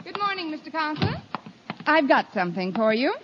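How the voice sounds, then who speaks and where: Wry, animated wisecracking schoolteacher. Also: female voice, high-pitched, fairly quick. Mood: dutiful, coaxing. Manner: dry, sardonic wisecracking. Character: wry, wisecracking female high school teacher. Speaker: lead of radio comedy. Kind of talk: 1950s radio comedy acting. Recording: muffled, noisy 1950 radio recording.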